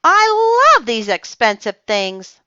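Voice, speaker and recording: A woman voicing a spoiled, excitable little girl who squeals and exclaims dramatically. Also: a little high, slow pace, no echo, highs cut off, pitched above the performer's own voice, full character voice. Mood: thrilled.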